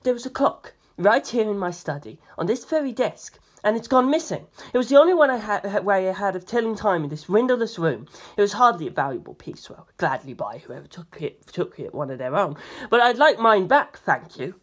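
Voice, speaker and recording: A narrator voicing a thin, excitable male artist with a fussy, vigorous, indignant manner. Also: medium pitch, brisk, few pauses, natural voice.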